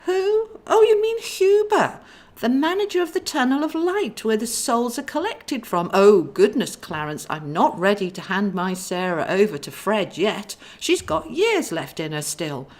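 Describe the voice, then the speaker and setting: A woman's voice: fairly high pitch, unhurried, pitched above the performer's own voice. A woman voicing a fretful, protective young female guardian angel in a storybook, chatty and earnest. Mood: flustered, protective. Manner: chatty, earnest, easily excited.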